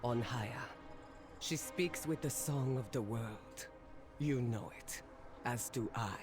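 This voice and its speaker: A man plays a reverent fantasy game elder. He reasons calmly in a measured, mid-pitched voice while music plays underneath. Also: natural voice. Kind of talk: fantasy game character acting.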